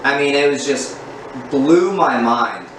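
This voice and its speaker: A man in his thirties candidly telling his personal story in a casual vlog, in an earnest, unhurried way. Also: medium pitch. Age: 30s. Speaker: vlogger. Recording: very echoey room, distant mic, steady background hum.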